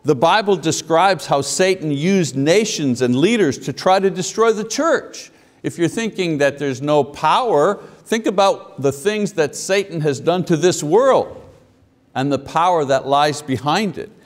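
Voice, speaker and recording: Fifties male preacher, lively pulpit delivery with rhetorical questions, slightly high voice, long church reverb. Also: unhurried. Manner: animated, gesturing, asks the congregation questions. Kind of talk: sermon. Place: church sanctuary at a wooden pulpit. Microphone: lapel mic.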